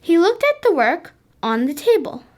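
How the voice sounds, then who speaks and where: A third-grade child narrating a school radio play, reading slowly and carefully. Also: female voice, very high voice, big pitch swings, a little mellow.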